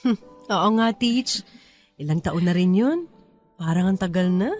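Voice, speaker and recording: A woman's voice: lowish voice, lively intonation, a little slow, a little mellow, faint music behind. A woman playing a humble, grateful teacher in a radio drama, earnest and deferential toward her mentor. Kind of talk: radio drama dialogue in Taglish.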